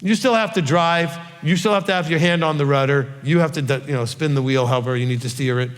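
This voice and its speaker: A man's voice: a little high, quick pace, in a large hall. A middle-aged man giving an informal Bible teaching, emphatic and often checking in with "okay?". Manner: emphatic, repeats "okay?" and "all right?".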